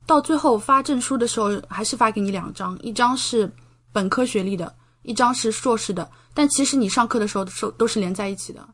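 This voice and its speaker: A woman's voice: a little high, fast. A young woman from China, a podcast guest, explaining things in a conversational interview style. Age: young adult.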